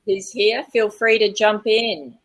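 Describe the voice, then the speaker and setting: An Australian woman hosting an informal online discussion, speaking in measured, tentative phrases. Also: mid-range voice, fairly even intonation, a little hiss or hum. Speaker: host.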